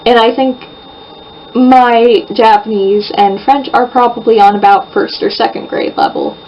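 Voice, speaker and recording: A woman's voice: on the high side, very animated, unhurried. A young American woman in her twenties chatting casually to camera in a rambling way, full of fillers. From United States. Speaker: vlogger. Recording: muffled distant home-video sound, faint hiss.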